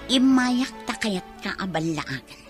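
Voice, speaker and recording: A woman's voice: mid-range voice, lively intonation, a little slow, music in the background, close to an everyday voice. An actress playing a haughty, scheming younger woman in an Ilocano radio drama, speaking curtly and imperiously. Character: haughty, scheming younger woman, cruel village soap villainess.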